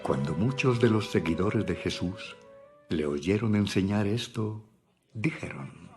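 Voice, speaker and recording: A man with a low voice narrates a scripted Bible drama. He takes his time with each phrase, and music plays clearly behind him. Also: expressive, measured pace, a little mellow.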